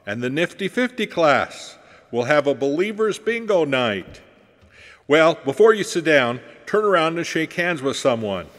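A man's voice: a little high, big pitch swings, unhurried, a bit bright. An actor playing an earnest church class leader addressing his congregation in an audio drama. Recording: long hall reverb, faint music.

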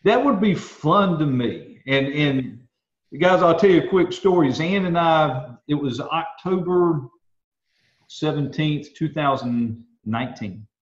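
A man co-hosting a casual chat, with a slightly high voice. He rambles through his stories with animated restarts. The recording is roomy and dull, with a lo-fi edge. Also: takes it slowly. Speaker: co-host.

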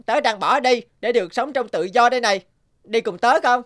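A woman voicing a naive, petulant little boy monkey in an animated fairy tale. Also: a little high, a little fast, natural voice. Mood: defiant. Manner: childlike, petulant. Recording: music playing under the voice.

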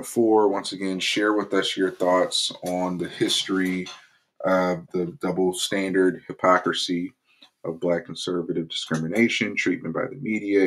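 A man hosting his own political commentary channel, talking loosely in a casual vlog promo with lots of fillers and restarts. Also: low-pitched. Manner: relaxed, rambling with many fillers.

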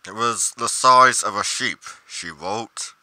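A man acting a pedantic journal-writing investigator, very bright forceful voice, slow delivery, theatrical, pompous, game roleplay. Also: fairly low pitch, no room sound, exaggerated voice, rough recording. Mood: grave, dramatic. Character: pedantic, musing male paranormal investigator narrating his journal.

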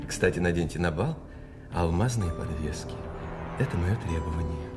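A man's voice: low voice, huge pitch swings, unhurried, loud music behind the voice, full character voice. An actor playing a petulant, suspicious, giggling French king in a radio drama, with a theatrical, capricious manner. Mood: smug, pleased. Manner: theatrical, capricious, mood-swinging.

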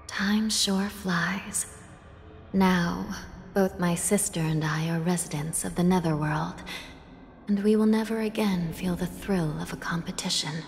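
A stern, soft-spoken underworld judge. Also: female voice, on the low side, a little hiss or hum. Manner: formal, measured, commanding. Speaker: game character. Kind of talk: video game voice acting. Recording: studio voice over music in long reverb.

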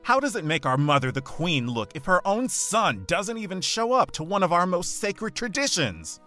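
Sharp, haughty eldest merman prince, played by a man. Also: sits high, very animated, moderate pace, faint music behind, heavily acted. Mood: resentful. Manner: cutting, accusatory.

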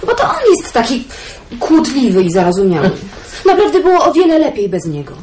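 A sharp-tongued young country woman in a radio play. Her high voice is very animated and emotional, recorded with clear background noise. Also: fairly quick. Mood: irritated. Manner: prickly, emotional. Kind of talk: radio drama acting.

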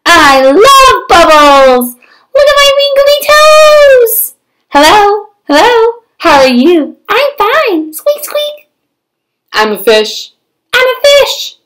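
Lady doing a stubborn drama queen cartoon pigeon from a picture book, really high, super animated. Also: takes it slowly, exaggerated voice, poor audio. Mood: gleeful. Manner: exaggerated, theatrical delivery. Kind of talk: animated storybook read-aloud.

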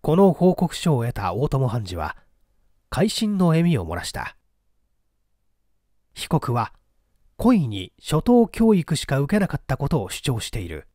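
Male reader for mystery fiction: expressive scripted narration, dry close sound. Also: mid-range voice, a little slow, pauses often, dry recording. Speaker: audiobook reader.

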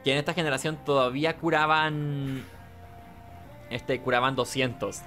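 A man's voice: mid-range voice, fairly even intonation, moderate pace, bright, forceful tone, faint music behind. A man giving casual, rambling let's play commentary, talking to himself. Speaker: gaming host.

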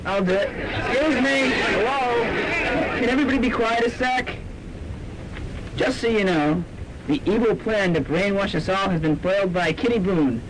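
A man's voice: high-pitched, normal speed, lightly acted. A man playing an earnest, hapless young student revolutionary with a dry delivery in a campy college radio drama. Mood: dry, announcing. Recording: amateur student radio, faint noise and bustle.

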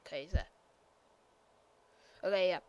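A woman giving a casual, rambling game tour voiceover, hesitant and full of ums and apologies, sometimes bursting out loud. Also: on the low side, moderate pace, dull audio, a little hiss or hum. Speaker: gameplay commentator.

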